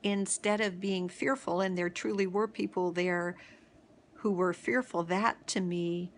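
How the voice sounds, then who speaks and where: Woman, middle-aged, low voice, very slow, halting, reflective storytelling, video host. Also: fairly even intonation, a little hiss or hum. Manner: halting, with many pauses and restarts. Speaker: host of a daily video series.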